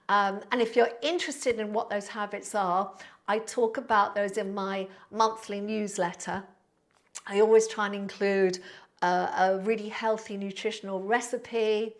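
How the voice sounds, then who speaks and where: A woman of sixty, a Pilates instructor chatting on a live stream, thanking viewers by name in a friendly, rambling way with fillers. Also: mid-range voice. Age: 60s. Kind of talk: live-stream chat reading out viewer comments.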